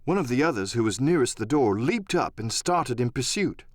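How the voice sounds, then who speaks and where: A man's voice: mid-range voice, big pitch swings, normal speed, dry recording, studio quality. A man narrating an adventure audiobook in a dramatic first-person storytelling style.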